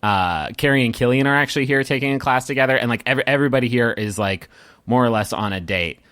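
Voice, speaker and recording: A man improvising narration as game master of a tabletop roleplaying game, halting and rewording as he goes. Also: medium pitch, a bit bright, no room sound.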